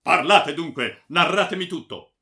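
A man's voice: medium pitch, sing-song, vocal fry, heavily acted. A man voicing a furious, anguished baron, imperious and powerful, in a dramatic story reading. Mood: demanding, furious. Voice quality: powerful, forceful. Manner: imperious, shouting, drawn-out threatening words. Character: furious anguished baron, commanding nobleman, male.

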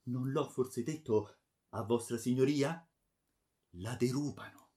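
Man acting a halting, insinuating friar informer. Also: medium pitch, mellow, natural voice. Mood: pained, insinuating. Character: grim, spiteful male friar informer, pained and pleading.

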